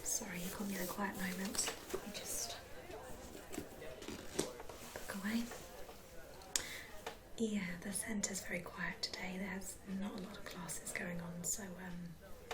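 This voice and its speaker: A woman playing a friendly, helpful sports centre receptionist in a soft, unhurried whispered ASMR roleplay. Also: mid-range voice, natural voice. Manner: soft-spoken, whispering, unhurried, hesitant fillers.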